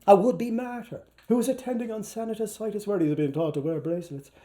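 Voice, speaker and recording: A man's voice: sits high, fast. Theatrical Irish man, playful reader. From Ireland. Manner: animated, playful, character-switching delivery. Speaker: actor, reader. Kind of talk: theatrical literary reading before a live audience. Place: live performance before an audience.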